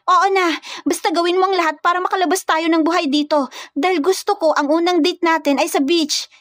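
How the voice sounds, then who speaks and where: A woman voicing a feisty young woman from the provinces, shrill and scolding, in an audio romance story. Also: steady tone, a little fast, fairly bright tone, dry recording. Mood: defiant.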